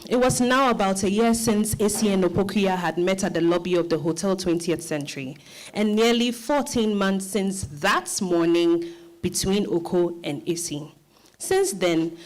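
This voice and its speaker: A woman narrating a novel in a measured, literary storytelling style. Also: medium pitch, a little mellow, poor audio.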